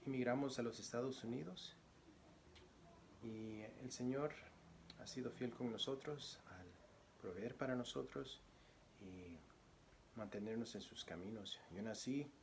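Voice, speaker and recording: A man from Guatemala, a church deacon, introducing himself as a guest preacher and reading scripture, speaking softly with many pauses. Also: medium pitch, slight background noise. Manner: soft-spoken, frequent pauses and fillers; louder, declamatory when reading scripture.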